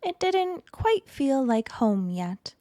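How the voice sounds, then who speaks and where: A woman reading a children's picture book aloud in an expressive, deliberate storytelling manner. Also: sits high, dry recording, clean studio sound. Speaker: storytime reader.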